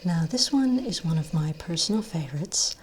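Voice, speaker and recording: A woman with a low, soft, breathy voice that often slips close to a whisper. She plays a shopkeeper in an ASMR role play, talking gently and without hurry in a reassuring way. A faint layer of noise sits behind the voice. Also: lively intonation, moderate pace. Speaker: ASMR role-play performer as wand shop owner. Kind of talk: soft-spoken ASMR role play, improvised sales patter.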